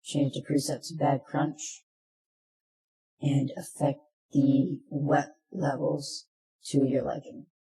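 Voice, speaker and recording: A presenter narrating a software tutorial in plain, step-by-step instructions, recorded in an echoey room. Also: mid-range voice, level tone, takes it slowly, long pauses.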